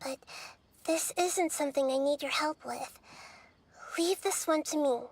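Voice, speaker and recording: A gentle, wise girl goddess. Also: high voice, no echo. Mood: reassuring. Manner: soft, measured and reassuring. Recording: game audio with loud music.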